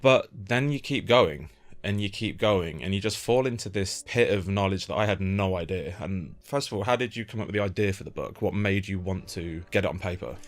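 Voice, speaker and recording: Male podcast interviewer, deep voice, informal and enthusiastic with his guest. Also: brisk. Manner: enthusiastic, complimentary. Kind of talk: casual interview chat.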